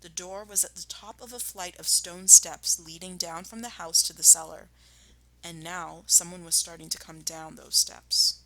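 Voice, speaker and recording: Bright-voiced woman teacher reading aloud. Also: fairly low pitch, fairly even intonation, moderate pace, poor audio. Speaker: primary school teacher. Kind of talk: expressive children's storybook read-aloud and lesson.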